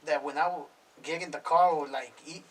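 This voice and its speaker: A man's voice: on the high side, steady tone, brisk. A man in his thirties, a music producer guest, talking in casual slang with lots of "bro" and "homie". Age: 30s. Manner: slangy, swearing, fillers "bro" and "homie" throughout. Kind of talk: casual interview talk. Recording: distant mic with faint noise.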